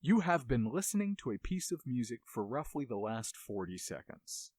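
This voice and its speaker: A man narrating a scripted video essay as its host, with an expressive, dramatic storytelling delivery. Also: mid-range voice, moderate pace, dry recording, studio quality. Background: music under the voice.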